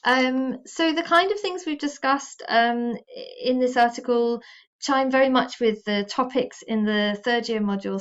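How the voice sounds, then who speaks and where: A woman from the United Kingdom, a university lecturer explaining her research in a measured, explanatory way. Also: a little high. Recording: slightly dull recording.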